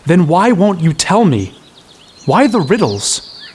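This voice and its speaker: An actor playing an inquisitive, cheeky young apprentice in an audio drama, questioning and impatient. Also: male voice, high-pitched, very animated, slow, darkish tone, a little hiss or hum, exaggerated voice. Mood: curious, frustrated.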